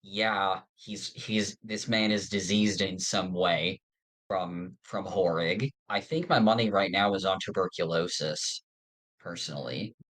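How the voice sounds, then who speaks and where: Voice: low-pitched, a little slow, fairly bright tone, poor audio. A podcast host talking casually about a fiction series in a rambling, informal way. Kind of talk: casual fan discussion of an audio drama.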